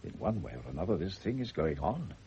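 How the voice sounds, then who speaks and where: An actor playing a shrewd, dry, unflappable family doctor in a classic radio drama, measured and pointedly probing. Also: male voice, deep voice, big pitch swings, dark tone. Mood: grave. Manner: measured, wry, pointedly philosophical. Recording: muffled, noisy old radio recording.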